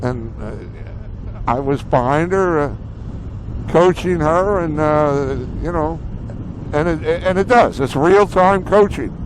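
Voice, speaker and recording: Male riding co-host, middle-aged, slightly high pitch, plain halting chat, light engine noise behind the intercom. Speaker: motorcycle vlog co-host. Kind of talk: casual chat while riding. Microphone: helmet intercom. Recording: faint road and engine noise.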